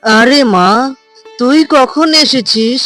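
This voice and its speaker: A voice actor playing a frail elderly village mother in a cartoon, talking slowly and fretfully. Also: mid-range voice, faint music behind. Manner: slow, fretful, gently scolding. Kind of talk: cartoon voice acting.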